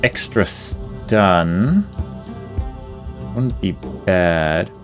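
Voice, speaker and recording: Male gaming host, low register, understated and musing, casual playthrough commentary with clear music underneath. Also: animated, drawn-out words.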